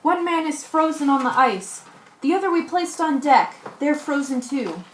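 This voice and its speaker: A woman's voice: sits high, expressive, normal speed, a little hiss or hum. A woman acting a practical, commanding shipwrecked sailor in an amateur radio play, reading earnestly with small stumbles. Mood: calm, amazed. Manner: earnest, stumbles over scripted lines. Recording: echoey room recording.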